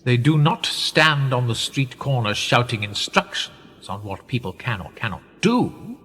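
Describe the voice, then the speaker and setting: An actor playing a sly, persuasive older conspirator in a radio play, theatrical and insinuating, with husky, drawn-out, often hushed phrases. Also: male voice, mid-range voice, very animated, exaggerated voice. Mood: grandly insinuating. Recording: echoey hall-like reverb.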